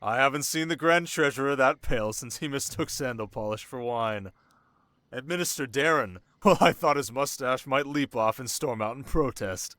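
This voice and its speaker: Man voicing a measured, regal young desert king, close and dry. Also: medium pitch, pushed and bright, dry recording, close to an everyday voice. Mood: amused, impressed. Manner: measured, teasing, regal, with dramatic pauses. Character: young, sharp, commanding desert king, intrigued and possessive. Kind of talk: scripted ASMR roleplay addressed to the listener.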